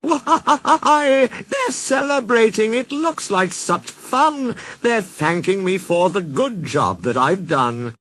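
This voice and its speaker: An excitable skeleton Santa from a storybook poem, acted out by a man. The pitch is pushed high and swoops about, with theatrical, exclamatory delivery and a naive glee in the phrasing. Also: slow pace, few pauses, heavily acted. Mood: delighted.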